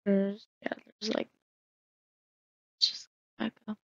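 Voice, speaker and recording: A woman's voice: mid-range voice, monotone, slow, gravelly, darkish tone, no echo, muffled recording. A woman casually narrating her solo game roleplay, musing aloud with hesitant fillers.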